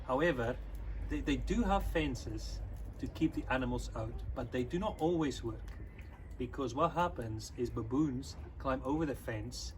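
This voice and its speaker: A man's voice: medium pitch, slight background noise, poor audio. Afrikaans-speaking man leading a club call. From South Africa. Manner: friendly, chatty, teaching words slowly. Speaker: youth club leader. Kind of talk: informal online talk to children.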